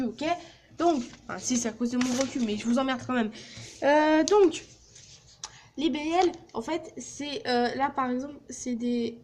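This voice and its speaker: A woman's voice: sits high, darkish tone, slight background noise. A teenage girl vlogging casually from a small office, joking and swearing as she walks through her work placement. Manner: crude, joking, swears a lot. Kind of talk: casual vlog.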